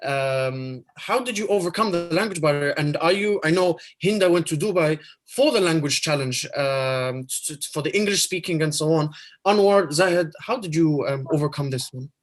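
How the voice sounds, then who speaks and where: A man's voice: fairly high pitch, expressive, normal speed, soft background music. A man moderating a live online panel, asking questions with many hesitant fillers.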